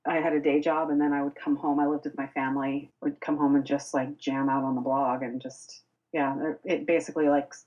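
A woman's voice: deep voice, flat delivery, a little fast, a little mellow, lo-fi sound. A young woman from Boston, a music blogger, chatting casually as a podcast guest with lots of fillers and restarts. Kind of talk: casual interview chat.

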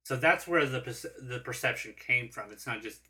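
A man's voice: mid-range voice, lively intonation, moderate pace, pushed and bright. A man hosting a casual podcast, talking candidly and self-deprecatingly about his painting shows, swearing now and then. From Southern California. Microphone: single shared podcast mic.

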